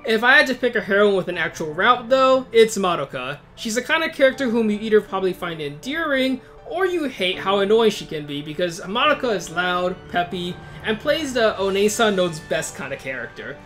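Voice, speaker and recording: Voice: mid-range voice, sing-song, a little fast, few pauses, a bit bright, soft background music. A video host reading a scripted but casual review, informal and opinionated, with wry asides.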